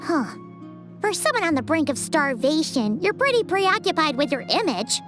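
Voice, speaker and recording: An extremely high, squeaky little fairy chirps away with swooping, animated pitch. She is a blunt, excitable childlike sidekick from an anime-style game, with music playing behind her. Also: normal speed. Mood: skeptical. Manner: refers to self in third person, exclamatory.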